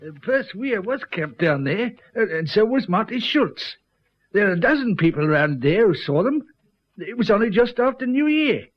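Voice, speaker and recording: An actor playing a fussy, earnest rural witness with a hesitant manner in a radio drama. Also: male voice, high voice, big pitch swings, normal speed. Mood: earnest, helpful. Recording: dull lo-fi radio recording.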